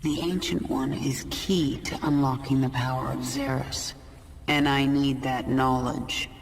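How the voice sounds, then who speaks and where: A woman playing a ruthless queen of an alien swarm in a video game, cool, commanding and deliberate. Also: extremely low pitch, steady tone, a little hiss or hum. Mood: cool, wry.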